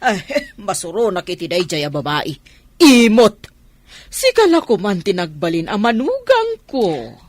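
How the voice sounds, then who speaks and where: A woman plays a catty, meddling older mother-in-law from an Ilocano radio comedy, with an Ilocano accent. She stretches her words slowly, her pitch leaping all over, in a dry close sound. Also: very animated, dry recording. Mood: catty, delighted.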